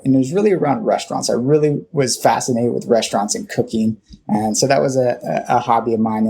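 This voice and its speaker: A man with a Californian accent tells his own story in a reflective, conversational way. His voice is mellow and noticeably husky at a middle pitch. He moves haltingly, often pausing partway through a phrase. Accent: Californian American. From Southern California, United States. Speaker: interview guest.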